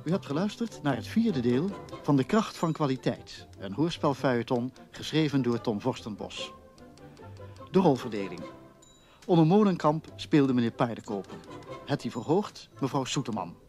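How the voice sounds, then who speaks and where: A man's voice: mid-range voice, sing-song, moderate pace, a little mellow, soft background music. A man reading scripted closing credits as a radio announcer.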